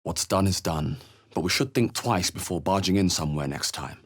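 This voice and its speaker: An actor playing a wry, wary adventurer hero in a fantasy video game, speaking in a measured, understated, dry way. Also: male voice, deep voice, lively intonation, fairly bright tone. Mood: measured, resolute. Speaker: video game player character voice. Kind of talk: scripted fantasy game exploration barks.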